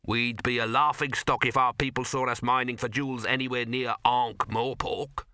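Man doing a sharp, grumbling dwarf miner. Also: medium pitch, flat delivery, normal speed, clear, resonant tone, dry recording, studio quality, natural voice. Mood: aggrieved. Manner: argumentative, explaining at length.

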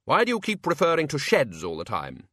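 A man's voice: fairly high pitch, wildly swooping pitch, fairly quick, a bit bright, dry recording, clean studio sound, voice pushed up, exaggerated voice. A man voicing a bossy, sarcastic older wizard who runs a university, blustering and emphatic, in a storybook reading. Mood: brisk, commanding.

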